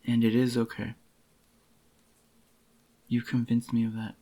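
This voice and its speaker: A man playing a possessive, devoted boyfriend in a soft-spoken, intimate ASMR roleplay, tender and obsessive, with a groggy, gravelly voice. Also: mid-range voice, monotone. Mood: devoted, adoring. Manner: tender, slow reassuring endearments to a lover.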